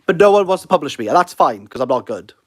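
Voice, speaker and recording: A man's voice: a little high, big pitch swings, quick pace. A Welsh man in his thirties hosting a casual livestream, rambling and self-deprecating as he answers viewer comments. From Wales. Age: 30s. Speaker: livestream host.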